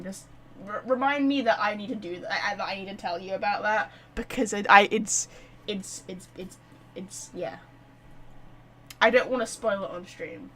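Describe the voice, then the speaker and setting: A woman chatting casually and excitably as she livestreams a game, rambling to her viewers. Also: mid-range voice. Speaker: live streamer. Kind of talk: casual game stream chat.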